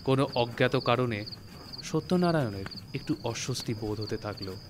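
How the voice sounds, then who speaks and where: A man's voice: medium pitch, lively intonation, moderate pace, noisy background. A man narrating a literary story from a script. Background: prominent music.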